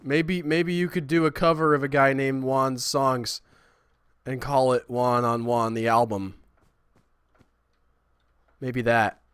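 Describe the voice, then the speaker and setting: A man chatting casually while he streams a building game, rambling and self-deprecating, with a high, squeaky voice. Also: fairly even intonation, slow, long pauses, fairly bright tone, clear, resonant tone, no room sound. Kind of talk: casual livestream chat.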